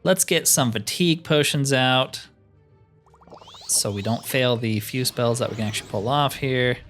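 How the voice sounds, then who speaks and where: A man's voice: medium pitch, measured pace, fairly bright tone. Man thinking aloud over faint music. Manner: thinks aloud, wry grumbling. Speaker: gaming video host. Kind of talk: casual let's play commentary.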